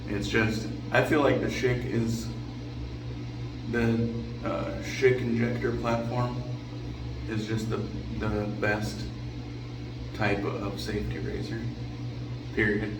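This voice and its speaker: A man hosting a shaving vlog while on vacation, his voice raspy and hoarse from drinking and talking over music, rambling casually and clearing his throat often. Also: lowish voice, level tone, slow, long pauses. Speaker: shaving channel host. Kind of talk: casual shave vlog talk. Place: vacation bathroom with air conditioning. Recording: echoey room, distant mic, noticeable background hum.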